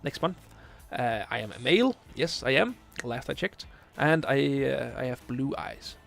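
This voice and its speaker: Man, late twenties, Danish accent, gravelly, casual stream chat, hesitant fillers. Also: medium pitch, lively intonation, unhurried, a bit bright. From Denmark. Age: 20s. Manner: hesitant, many filler uhs, searching for English words. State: tired late at night. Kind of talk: casual live stream chat, reading chat questions.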